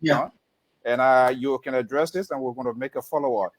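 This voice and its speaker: A man hosting a radio interview, lecturing listeners in a stern way with sudden emphatic jumps in pitch. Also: brisk, rough recording. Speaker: radio host.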